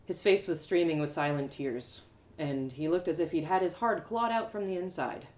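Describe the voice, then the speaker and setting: A woman's voice: low voice, normal speed, darkish tone, echoey room. A woman storyteller reading first-person fiction aloud as a dramatic live narration. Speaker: author and storyteller.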